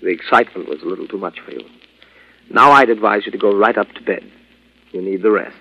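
An actor playing a secretive, defensive doctor in an old radio drama, with a gravelly voice. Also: male voice, fairly low pitch, quick pace. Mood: smooth, controlled. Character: secretive male doctor and stepfather, defensive under suspicion. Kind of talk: 1940s radio drama acting. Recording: dull vintage radio recording.

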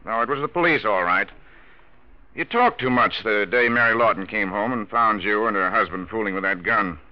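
An actor playing a tough, smug, plain-spoken police chief in a 1940s radio drama. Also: male voice, on the low side, normal speed, natural voice. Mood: smug, stern. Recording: muffled old radio recording.

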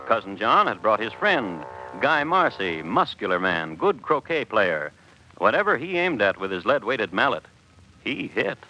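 Low, animated, wry male radio narrator. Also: highs cut off. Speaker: host narrator. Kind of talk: scripted 1950s radio crime narration.

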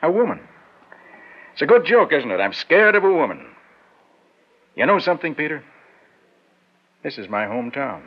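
A man's voice: on the high side, sing-song, moderate pace, long pauses, slightly rough. An actor playing a brusque, domineering businessman with clipped, commanding delivery in a 1960s radio drama. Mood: uneasy, confiding. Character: domineering, brusque, successful businessman, secretly nervous. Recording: muffled vintage radio recording.

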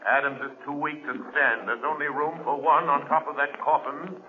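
An actor playing a stern, commanding invisible crime-fighter with a grave, authoritative, dramatic manner, in 1930s radio style. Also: male voice, fairly high pitch, steady tone, moderate pace, close to an everyday voice. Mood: stern defiance. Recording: noisy, tinny 1930s radio recording.

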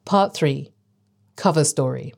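A woman with a low voice reads a scripted narration. Her delivery is formal, like an announcement. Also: slow, vocal fry, darkish tone.

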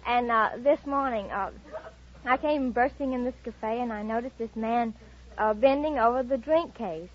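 A woman's voice: a little high, moderate pace, crowd noise. Hesitant woman from Arkansas, old radio. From Arkansas, United States. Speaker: quiz show contestant. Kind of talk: interview answers, storytelling. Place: stage before a studio audience. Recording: dull old radio broadcast, some noise.

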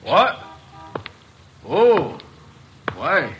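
An actor playing a defensive, stubborn small-time crook in an old radio drama, on a noisy recording. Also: male voice, fairly high pitch, huge pitch swings, extremely slow, fairly bright tone, lo-fi sound, faint music behind, exaggerated voice. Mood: startled, defiant.

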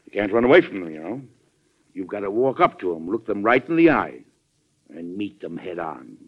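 An actor playing a troubled, plainspoken middle-aged American president in an old-time radio drama, speaking deliberately and with emphasis. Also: male voice, lowish voice, sing-song, husky, full character voice. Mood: resolute. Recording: dull vintage radio recording.

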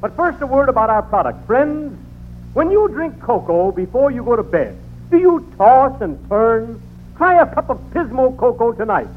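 A man's voice: extremely high pitch, sing-song, moderate pace, long pauses. A man playing an earnest, salesmanlike sponsor announcer in a 1940s radio comedy's mock commercial. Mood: mock-earnest pitch. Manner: salesmanlike, emphatic. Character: earnest male sponsor announcer in a spoof cocoa ad. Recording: narrow-band old radio broadcast, faint noise.

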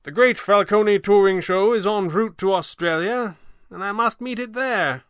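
Man voicing a courtly old sorcerer, high and theatrical. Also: moderate pace, fairly bright tone, smooth, no echo, studio quality. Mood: fond. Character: gentle, amused old stage-magician sorcerer, courtly and theatrical.

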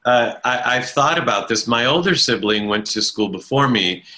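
Bright-voiced man telling stories reflectively. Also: mid-range voice, lively intonation. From Italian-speaking family in America. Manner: thoughtful, halting restarts, self-deprecating humor. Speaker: interview guest, stage performer. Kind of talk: reflective conversational storytelling.

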